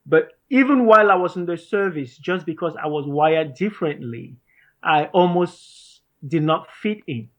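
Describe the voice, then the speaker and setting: A man in his thirties from Cameroon, a startup founder, answering interview questions in a measured, earnest way. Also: fairly high pitch, lively intonation, a bit bright, highs cut off. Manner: measured, earnest, some restarts.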